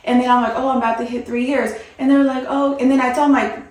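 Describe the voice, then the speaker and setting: A woman's voice: sits high, little pitch movement, fast talker, a little mellow. Upbeat young woman, echoey room. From Mexico. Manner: friendly, upbeat, with um fillers. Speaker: realtor guest. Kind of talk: interview answers.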